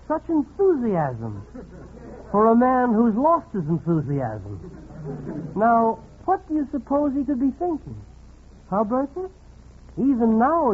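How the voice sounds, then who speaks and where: Wry, lively-pitched needling radio narrator. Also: male voice, a little hiss or hum, heavily acted. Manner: sardonic, confiding. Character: wry, needling narrator who chats to the characters. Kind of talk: 1940s radio comedy narration. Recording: tinny, phone-like 1940s radio recording.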